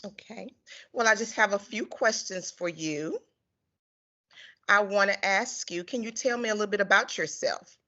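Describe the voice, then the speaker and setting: A woman's voice: medium pitch, normal speed, pushed and bright. A woman working as a care ombudsman, asking interview questions in a friendly, casual way. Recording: dull lo-fi recording.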